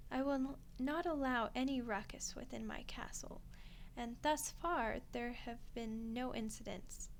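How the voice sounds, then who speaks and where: A centuries-old vampire queen, played by a woman, speaks in a fairly high, very slow voice. She is gracious and teasing, coaxing her guest with formal courtly phrasing in an ASMR roleplay, over noticeable noise. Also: vocal fry. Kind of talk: ASMR roleplay monologue addressed to the listener.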